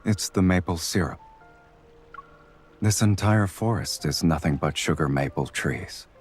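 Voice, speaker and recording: Romance game love interest, very deep voice, calm, dry, teasing, slight background music. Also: male voice, unhurried. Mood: calm, wry. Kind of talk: video game romance acting.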